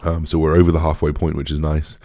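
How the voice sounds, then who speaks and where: A young British man with a deep, raspy voice, chatting casually as a podcast host in a rambling, informal way. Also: completely flat delivery, quick pace, mellow, no room sound, studio quality. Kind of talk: casual solo podcast chat.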